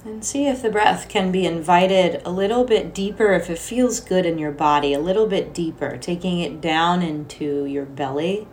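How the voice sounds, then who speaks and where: A woman leading a guided meditation as a teacher, speaking in a gentle, soothing, unhurried way with long pauses. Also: fairly low pitch. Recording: soft music behind the voice. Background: music.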